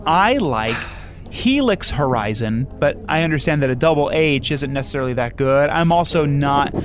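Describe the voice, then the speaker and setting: A man's voice: a little high, lively intonation, normal speed, lightly acted. A man playing an eager, opinionated sci-fi screenwriter, insistent and earnest, nitpicking in a casual conversational style. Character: eager, opinionated male sci-fi screenwriter pitching big ideas. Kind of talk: improvised-sounding mock meeting, conversational. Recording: room ambience with some noise.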